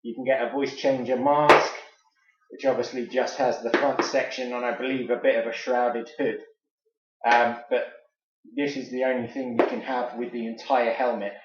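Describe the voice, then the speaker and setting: A man's voice: mid-range voice, level tone, normal speed, very noisy. A British man giving a casual, unscripted product review as host, chatty and informal like an enthusiastic fan. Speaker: toy reviewer and video host. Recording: echoey room, slightly dull sound.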